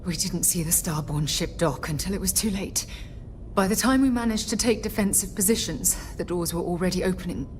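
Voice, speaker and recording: An actress playing an injured, caring space explorer in a video game, shaken and weary, speaking haltingly and earnestly. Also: female voice, on the low side, slight background noise, close to an everyday voice. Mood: shaken, relieved.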